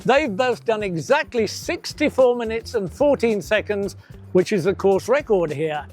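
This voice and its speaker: A man narrating a scripted race preview in a brisk, informative way. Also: high voice, lively intonation, faint music behind.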